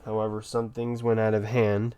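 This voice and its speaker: A man's voice: on the low side, monotone, normal speed, mellow, dull audio, a little hiss or hum. A man giving casual, slangy commentary while playing a video game. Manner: slangy, offhand. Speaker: gamer doing a playthrough. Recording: faint game music and keyboard clatter. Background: game audio, keyboard typing.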